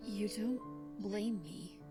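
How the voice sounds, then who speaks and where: A woman playing an eerie, reproachful young girl reciting poetry in a visual novel, slowly and deliberately. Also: medium pitch, lightly acted. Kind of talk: scripted visual novel narration. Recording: loud music over voice.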